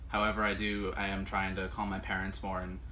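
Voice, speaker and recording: A man in his twenties, born in the States, answering interview questions in a relaxed way, often pausing with ums and correcting himself. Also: sits low. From United States. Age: 20s. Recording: faint background noise.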